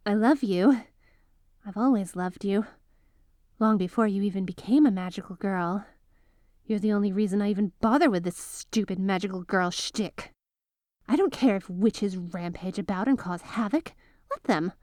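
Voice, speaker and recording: An obsessive veteran magical girl played by a woman confides in her student in an ASMR audio drama. Her voice is mid-pitched and very dry, as if close by. She is coaxing and lovestruck, a devoted teacher with a possessive streak. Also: moderate pace, a little mellow, no room sound, lightly acted. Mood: bitter, lovesick confession.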